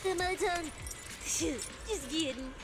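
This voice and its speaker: A woman playing a cheeky, excitable young case specialist in a video game, expressive and boastful. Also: extremely high pitch, slow, background noise, full character voice. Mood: breezy, wary. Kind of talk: animated video game voice acting.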